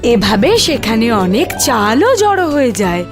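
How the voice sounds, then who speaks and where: Lively, high-voiced woman storyteller. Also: unhurried, music in the background. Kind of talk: scripted fairy-tale narration for a cartoon.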